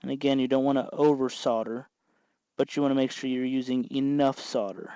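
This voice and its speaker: A man hosting an informal how-to tutorial, explaining step by step in an unhurried way as he works with his hands. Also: mid-range voice, steady tone, mellow, smooth, dry recording. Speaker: host of a DIY electronics tutorial.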